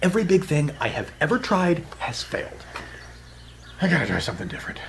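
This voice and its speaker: A man's voice: fairly high pitch, very animated, brisk, gravelly, background noise. An actor playing a hapless, wisecracking everyman from Earth, flustered and sarcastic in a comic cartoon manner. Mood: excitable. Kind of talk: comedic cartoon acting. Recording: loud music behind.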